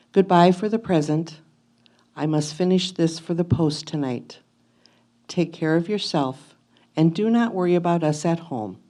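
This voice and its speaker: Casting: woman to play devoted pioneer wife of the 1850s, low mellow voice, earnest and tender letter reading for the stage. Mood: tender, devout. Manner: earnest, tender, old-fashioned formal wording. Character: devoted, anxious 1850s pioneer wife writing to her husband. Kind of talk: dramatic reading of an old letter, reader's theatre.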